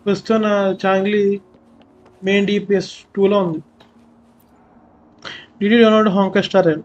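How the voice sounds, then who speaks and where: A young man with a Telugu accent giving quick, chatty live commentary while streaming a game. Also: sits high, steady tone, muffled recording. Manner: quick, chatty, mixing in casual asides.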